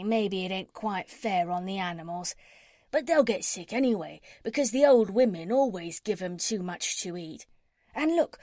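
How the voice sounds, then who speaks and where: A woman's voice: medium pitch, a little mellow, no echo, studio quality. A woman voicing a chatty, scheming working-class tradesman from a children's storybook, with a folksy, persuasive, ungrammatical way of talking. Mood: coaxing. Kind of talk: children's storybook character reading.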